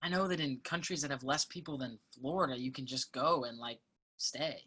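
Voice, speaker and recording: Woman acting thoughtful college student, low pitch, bright tone, measured, earnest, naturalistic play dialogue. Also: slightly rough, full character voice. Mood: earnest, persuasive.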